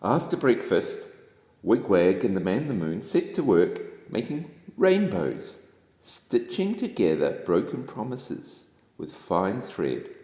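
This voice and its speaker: A man with a New Zealand accent reads a children's bedtime story aloud in an expressive, dramatic storytelling manner. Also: lowish voice, takes it slowly. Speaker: storybook reader. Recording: echoey room with long reverb.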